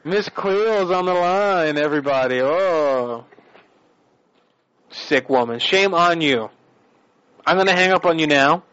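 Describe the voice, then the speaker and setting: A man's voice: fairly high pitch, slow pace, pauses often, fairly bright tone. A man making a prank phone call on a radio show, badgering and bossy in his accusations.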